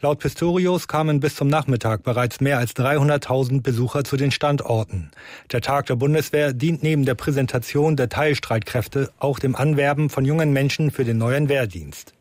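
A male news correspondent reads a report in a steady, factual manner. His voice sits in a middle register. The sound is dry and close. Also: medium pitch, normal speed, dry recording.

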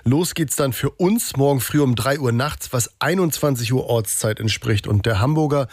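A man's voice: medium pitch, moderate pace, husky, no echo. Guy doing radio sports news, trips on words, dry booth sound. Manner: stumbles over words, laughs at himself. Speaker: sports reporter.

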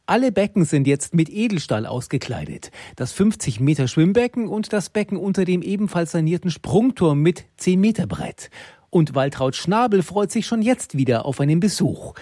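A man narrating a scripted radio report with lively, varied delivery. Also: sits high, moderate pace, no echo, studio quality.

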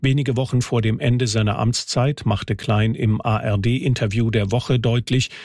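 A man's voice: mid-range voice, fairly even intonation, a little slow, creaky, darkish tone, no room sound, studio quality. A man reading scripted radio news in a formal, factual manner. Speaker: news anchor.

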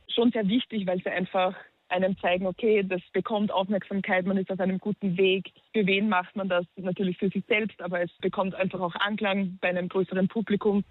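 A young woman from Upper Austria with an Upper Austrian accent, a musician talking in a phone interview, pausing thoughtfully to find her words. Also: mid-range voice, husky, dry recording. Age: young adult. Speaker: musician guest. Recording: dry tinny phone line.

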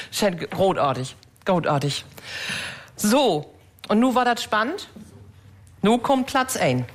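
A woman with a North German accent announcing casually as host of a live story contest. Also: fairly low pitch. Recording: live stage, audience faintly heard.

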